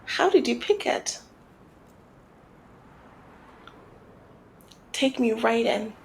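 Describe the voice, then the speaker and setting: A woman's voice: a little high, animated, moderate pace, vocal fry. A woman playing a flirty, commanding female mob boss in an ASMR roleplay, talking in a teasing, coy and controlled way. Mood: gushing, lovey-dovey. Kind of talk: ASMR roleplay, scripted one-sided dialogue.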